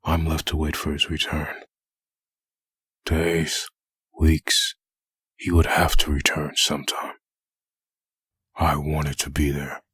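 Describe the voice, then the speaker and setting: A man playing a brooding undead antihero full of hatred in a comic dub, with a gravelly rasp and a grim monologue delivery. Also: very deep voice, level tone, a bit bright, no echo, clean studio sound, exaggerated voice. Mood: grim, resigned. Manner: grim, slow internal monologue. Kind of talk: comic dub dramatic narration.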